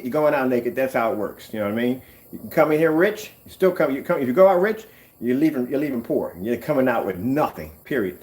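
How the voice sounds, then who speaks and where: A middle-aged man chatting casually while working in a workshop, wandering off topic with animated bursts. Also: mid-range voice. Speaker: screen paint maker.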